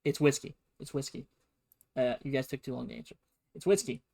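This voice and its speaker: A man's voice: medium pitch, fairly even intonation, fairly quick, vocal fry, darkish tone. A man streaming a casual, comedic history lesson, full of sarcastic and playful asides. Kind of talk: casual, comedic history lecture to a livestream audience.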